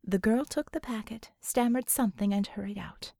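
A woman's voice: medium pitch, moderate pace, gravelly, warm tone, dry recording, clean studio sound. A woman reading scripted fiction expressively as an audiobook narrator.

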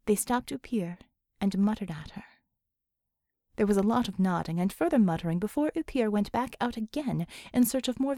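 A woman's voice: medium pitch, dark tone, no echo, clean studio sound. A woman reading scripted fiction as an audiobook narrator.

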